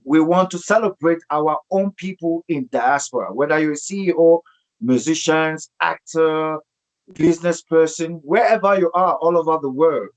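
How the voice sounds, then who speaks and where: Male interview host, higher-than-average voice, emphatic presenter delivery with repeated phrases. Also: poor audio. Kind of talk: online TV interview, show introduction.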